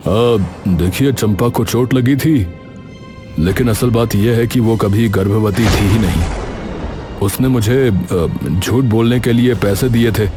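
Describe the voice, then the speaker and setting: A man playing a hesitant, apologetic doctor in a cartoon moral story, halting as he explains. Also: on the low side, over music, lightly acted. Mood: hesitant confession.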